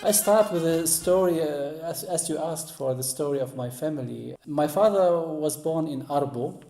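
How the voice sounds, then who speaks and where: A man, recounting family history as an interview guest in halting English, searching for words. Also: fairly high pitch, mellow, faint music behind. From Lebanon. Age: 40s. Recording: roomy, echoey sound.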